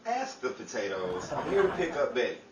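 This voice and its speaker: A man's voice: medium pitch, huge pitch swings, fairly quick. A man playing a brash, bantering New York fight trainer in a 1930s radio comedy, with exaggerated comic patter. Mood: brisk banter. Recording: echoey room, distant mic, background noise.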